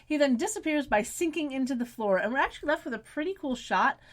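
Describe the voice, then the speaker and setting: A woman co-hosting a podcast, chatting casually and recapping scenes, her voice a little raspy from a cough. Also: high-pitched, lively intonation, quick pace. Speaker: podcast co-host.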